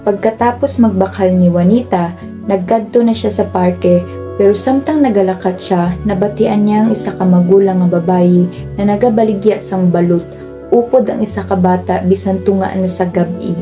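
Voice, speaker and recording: A woman narrates a radio drama from a script. Her voice sits at a middle pitch with a Filipino accent, and music plays clearly behind it. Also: steady tone, moderate pace.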